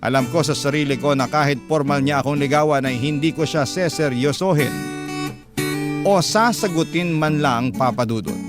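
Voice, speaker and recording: A man hosting a radio love-story program, reading a listener's letter aloud in a steady, earnest storytelling voice. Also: fairly high pitch, moderate pace. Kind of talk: scripted storytelling narration. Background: loud guitar music.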